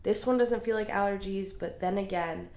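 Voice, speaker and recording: A woman's voice: mid-range voice, moderate pace, a little mellow. A young woman with a cold and a congested voice, hosting her podcast in a chatty, earnest way. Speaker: podcast host. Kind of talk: casual solo podcast monologue. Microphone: small handheld recorder. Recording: roomy echo.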